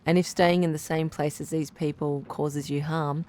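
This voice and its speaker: A woman playing a caring, composed radio relationship doctor who gives advice in a measured, articulate, reassuring way. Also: deep voice, flat delivery, darkish tone, a little hiss or hum, lightly acted. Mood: calm, advising. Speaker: radio advice show host. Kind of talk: radio drama advice-show acting.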